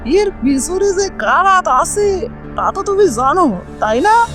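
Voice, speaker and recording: A woman playing a gentle, knowing old beggar in an audio drama, speaking soothingly and cryptically. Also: extremely high pitch, big pitch swings, slow pace, music in the background, full character voice. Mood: knowing, cryptic. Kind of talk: Bengali audio story acting.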